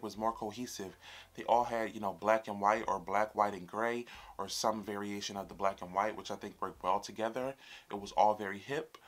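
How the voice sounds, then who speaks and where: A man's voice: fairly low pitch, a bit bright. A man in his twenties hosting a casual reaction video, chatty and sassy with his viewers. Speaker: YouTube reaction host. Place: small room indoors, fabric backdrop behind.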